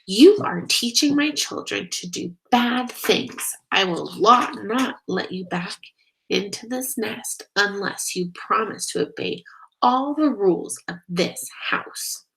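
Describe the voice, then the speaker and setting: A woman's voice: mid-range voice, lively intonation, takes it slowly, vocal fry, fairly bright tone, heavily acted, low-quality recording. A woman voicing a strict, bossy mother bird in a storybook, scolding and stern.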